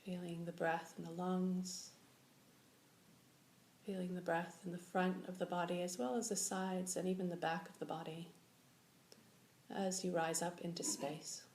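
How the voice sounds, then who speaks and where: A woman leading a guided meditation as a teacher, giving slow, gentle instructions with long pauses. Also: fairly low pitch, little pitch movement. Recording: echoey room with faint background noise.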